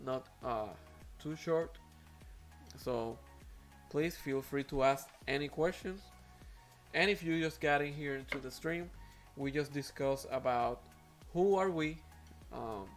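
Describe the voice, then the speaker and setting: A man whose first language is Spanish, speaking English with a Spanish accent as host of an aquarium live stream, talking casually with many fillers and restarts. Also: mid-range voice.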